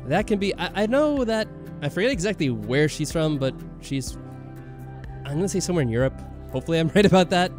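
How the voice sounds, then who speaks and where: Man casually musing, with lively swings. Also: on the high side, brisk. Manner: musing, jokey. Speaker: let's play host. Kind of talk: casual gameplay commentary with voiced reading of dialogue. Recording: music under the voice. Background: game music.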